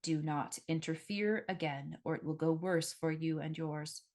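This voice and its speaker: A woman voicing a menacing demon who possesses a man, speaking in a cold, formal, deliberate and threatening way for an audiobook. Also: deep voice, fairly even intonation, a little mellow.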